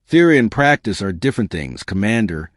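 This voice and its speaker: A grave, formal space navy admiral, read by a male narrator, gives audiobook dialogue with firm authority. The pitch is on the low side, with lively inflection and a dry sound. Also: fairly quick, no echo. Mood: sober.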